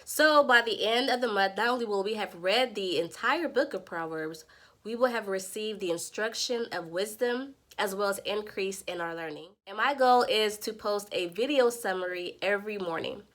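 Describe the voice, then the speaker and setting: A woman's voice: mid-range voice, normal speed, a bit bright. A woman who sounds congested from a sinus infection, earnestly summarizing scripture in a devotional vlog. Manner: earnest, preacherly teaching. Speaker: Bible study vlogger.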